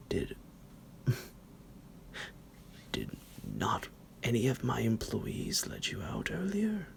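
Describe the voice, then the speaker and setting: Deep, creaky, half-whispering psychotic vampire. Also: male voice, a bit bright, exaggerated voice. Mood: menacing, mock-tender. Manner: slow, drawn-out, theatrical, halting, with low chuckles. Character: psychotic male vampire doctor, menacing, smug, teasing captor. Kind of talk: ASMR roleplay monologue.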